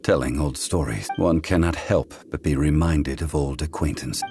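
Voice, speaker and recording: A man voicing an ancient, dignified god in a video game, speaking in measured, formal, wistful monologue over music. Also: extremely low pitch, noisy background. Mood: reflective, solemn. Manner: measured, formal, solemn, old-fashioned diction. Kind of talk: scripted game dialogue, theatrical monologue. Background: background music.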